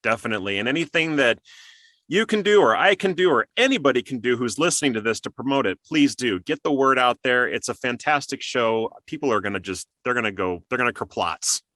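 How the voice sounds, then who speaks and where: Bright-voiced man hosting a podcast interview. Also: medium pitch, expressive, brisk, dry recording. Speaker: interview show host.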